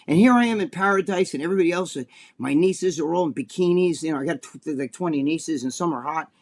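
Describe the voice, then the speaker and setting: Older New Jersey man, podcast co-host, foul-mouthed animated storyteller with a slightly higher voice. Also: fast talker. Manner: animated, profane, acts out quotes in a high voice. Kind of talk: casual storytelling chat.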